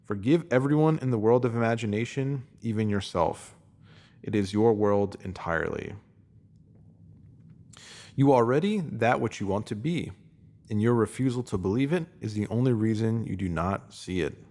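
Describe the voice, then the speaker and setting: Low-voiced man reading calmly. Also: moderate pace. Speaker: reader. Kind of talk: scripted reading of a spiritual essay.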